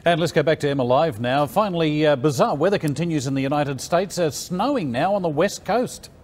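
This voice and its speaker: Quick-talking Australian male news anchor. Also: a little high, expressive, a little mellow, soft background music. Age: middle-aged. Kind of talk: news presenting. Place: TV news studio.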